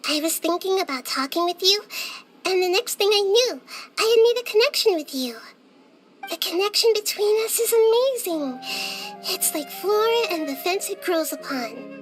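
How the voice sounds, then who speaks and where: Soft little goddess girl, very high and gentle, music behind. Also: animated, normal speed. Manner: gentle, poetic. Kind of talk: game cutscene dub.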